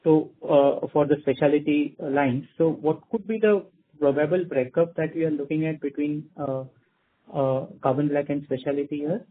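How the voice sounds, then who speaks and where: A man's voice: a little high, normal speed. A male analyst with an Indian accent politely asking questions on a business call, often checking his phrases with a questioning tag. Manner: polite, tags phrases with "right?". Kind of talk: spontaneous questioning on an earnings call. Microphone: phone call. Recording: thin narrowband phone line.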